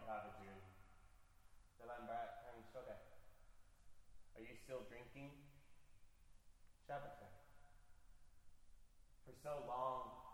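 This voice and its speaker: Poet reading in an echoey hall. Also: male voice, medium pitch. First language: Farsi. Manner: measured, heightened delivery of poems; casual, halting asides between them. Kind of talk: live poetry reading. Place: performance venue with an audience. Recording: echoey hall with long reverb, faint ambience.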